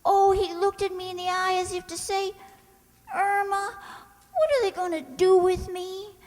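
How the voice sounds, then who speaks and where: Very high-voiced, scatterbrained young woman, played by an actress. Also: unhurried, darkish tone. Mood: pleading, insistent. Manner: earnest, literal-minded, pleading. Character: naive, soft-hearted, scatterbrained young woman, Irma. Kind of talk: old-time radio comedy acting. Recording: hall reverb on stage-like recording.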